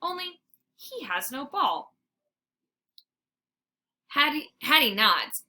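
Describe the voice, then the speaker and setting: A woman reading a children's story aloud like a teacher, in an expressive, theatrical narration. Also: fairly high pitch. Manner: animated, theatrical.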